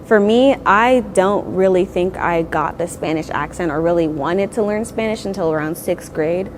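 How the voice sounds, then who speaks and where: A woman's voice: on the low side, moderate pace, a little hiss or hum. A young woman student giving earnest advice in an interview. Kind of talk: interview answers.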